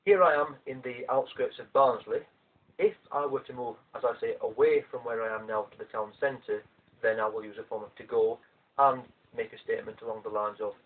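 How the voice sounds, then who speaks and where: A man with a South Yorkshire accent talks through a language lesson, slow and methodical. His voice is a little husky. It sounds tinny, as if through a phone line, and he is some way from the phone's mic. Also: fairly low pitch. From South Yorkshire, England. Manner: measured, methodical explaining. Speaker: language teacher. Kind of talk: explanatory video lesson. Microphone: mobile phone camera. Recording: tinny, distant phone recording.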